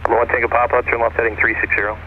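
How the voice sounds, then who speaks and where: A man's voice: medium pitch, level tone, rapid-fire. A man working as an approach controller, speaking in a businesslike, procedural way over aviation radio. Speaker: approach air traffic controller. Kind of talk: air traffic control instructions. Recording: tinny, noisy aircraft radio transmission.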